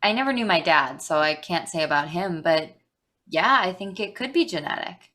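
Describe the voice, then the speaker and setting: An eager, earnest teenage girl , acted by a woman in a staged reading. Her voice is bright and lively, swinging up and down as a bookish granddaughter. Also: fairly low pitch, normal speed. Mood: earnest, curious.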